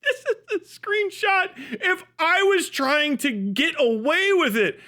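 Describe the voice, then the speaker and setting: High-pitched mocking man, bright and excitable. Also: slow pace. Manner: mocking, sarcastic; profane, giggly, excitable. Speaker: livestream host. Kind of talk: casual commentary reacting to a video.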